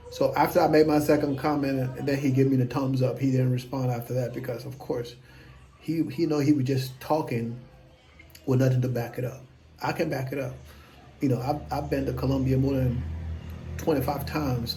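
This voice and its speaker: Gravelly man in his fifties. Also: mid-range voice, dark tone, poor audio. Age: 50s. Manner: blunt, self-assured and rambling. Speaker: investing vlogger. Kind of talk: casual talk to camera.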